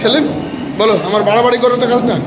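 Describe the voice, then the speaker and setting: A man's voice: extremely high pitch, fairly even intonation, very fast. A man hosting a casual vlog, introducing his friends to viewers and teasing them with questions. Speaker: vlogger and host. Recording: busy crowd and street noise.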